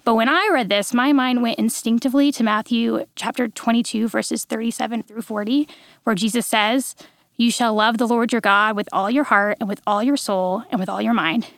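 A woman's voice: on the high side, steady tone, a bit bright, dry recording. A woman in her thirties, a guest on a podcast, chatting cheerfully and then teaching a devotional in a studio. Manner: bubbly, quick to laugh. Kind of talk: casual chat and devotional teaching.

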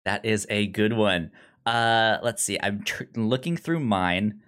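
A man's voice: on the low side, takes it slowly, a bit bright, clear, resonant tone. A man co-hosting a podcast in casual, unscripted chat, hesitant and often stammering through repeated false starts. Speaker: podcast co-host. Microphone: remote online call.

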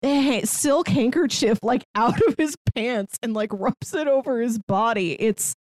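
A woman's voice: a little high, measured pace, a little mellow, no echo. Woman podcaster in casual film chat, dry sound. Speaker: film review podcast co-host.

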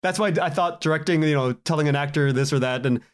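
A man speaking as a guest in a casual podcast interview, telling anecdotes in an animated way and acting out bits of dialogue. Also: a little high, fast talker. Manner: animated storytelling, acts out quoted exchanges, chuckles often. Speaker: guest, audio drama writer and director.

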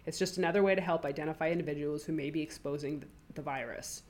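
Low-pitched lady podcaster with a head cold, chatty, reads news stories out loud. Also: quick pace. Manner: chatty, reads news items aloud with asides. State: has a cold, voice deeper than usual. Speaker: podcast co-host. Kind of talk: casual podcast chat, reading news.

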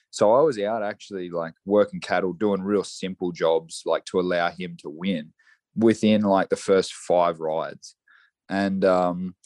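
A man's voice: deep voice, a little mellow, no room sound. A young Australian man chatting casually on a podcast, telling rambling stories full of restarts and fillers. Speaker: guest horseman.

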